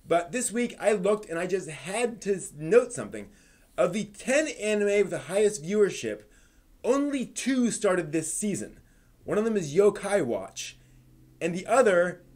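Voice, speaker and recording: A man's voice: high-pitched, a little mellow. A man hosting a live stream in a conversational way, moving between news rundown and casual asides to his viewers.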